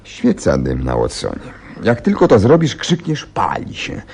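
An actor playing a shrewd, confident gentleman detective in a radio play, speaking in a deliberate, theatrical and self-assured manner. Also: on the low side, wildly swooping pitch, vocal fry, breathy edge, full character voice. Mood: secretive, instructing. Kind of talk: Polish radio play acting.

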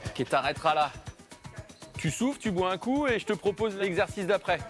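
Male coach, fairly high voice, casual banter, sports hall, music behind. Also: animated, normal speed. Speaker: tennis coach. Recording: music over the voice.

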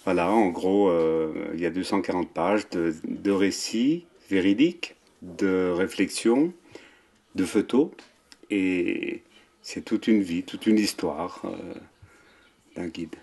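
A man in his sixties, a mountain guide with a French accent, talking in an interview with many pauses and fillers. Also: low voice, darkish tone. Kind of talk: relaxed interview. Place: wood-panelled chalet room, indoors. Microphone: seated at table, framed camera.

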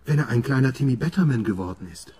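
A man's voice: medium pitch, expressive, fast, a little mellow, breathy edge. An actor playing a brooding, obsessive middle-aged father and doctor, intense and introspective, in a radio horror drama. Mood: agitated, obsessive. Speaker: narrator and lead character. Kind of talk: radio horror drama, dialogue and first-person narration.

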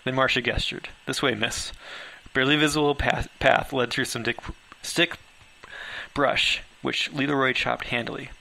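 A man narrates a first-person story in a home recording. His voice is sharp and forceful, with a creaky edge, and his reading is informal and slightly unpolished. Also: medium pitch, steady tone, normal speed, poor audio. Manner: stumbles over words, laughs while reading. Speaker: author reading own story aloud.

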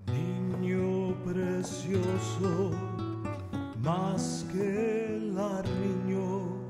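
A tender woman singing a lullaby in a game. Her low voice moves very slowly in a roomy echo, with loud guitar music. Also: flat delivery. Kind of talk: slow sung lullaby in a video game.

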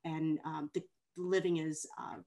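Female panelist, lowish pitch, casual explainer, halting measured delivery. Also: flat delivery, vocal fry, dark tone. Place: indoor room on video call.

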